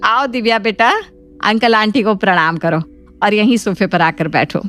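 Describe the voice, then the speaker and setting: A woman's voice: mid-range voice, steady tone, normal speed, a bit bright, faint music behind, natural voice. A woman playing an assertive middle-aged mother in a cartoon family drama, firm and persuasive. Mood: kindly.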